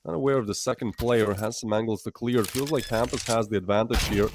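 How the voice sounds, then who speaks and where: Low-voiced excitable esports caster. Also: male voice, quick pace, background noise. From the Baltics. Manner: chatty, laughing, excitable play-by-play. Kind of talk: live esports commentary.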